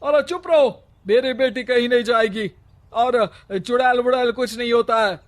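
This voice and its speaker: Cartoon village headman, high-pitched, self-important, blustering. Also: moderate pace. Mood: angry.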